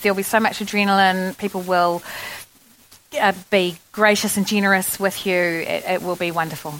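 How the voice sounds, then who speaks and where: A woman's voice: medium pitch, fairly even intonation, normal speed, a bit bright, a little hiss or hum, low-quality recording. A woman comedian on a festival panel, answering audience questions in a frank, chatty way with many fillers. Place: festival venue in front of an audience.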